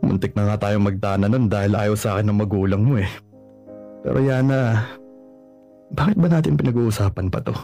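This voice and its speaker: A man's voice: on the low side, fairly quick, long pauses, warm tone, faint music behind, lightly acted. A man playing a lovesick, stubborn young man. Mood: protesting. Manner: pleading, earnest. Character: heartbroken young man who won't give up. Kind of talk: radio drama acting.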